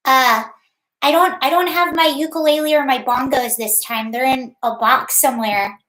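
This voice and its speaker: A woman with a high-pitched voice hosting a casual livestream from home, chatting in a rambling way and greeting viewers by name. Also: fairly even intonation, normal speed, fairly bright tone, roomy sound, rough recording. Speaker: livestream host.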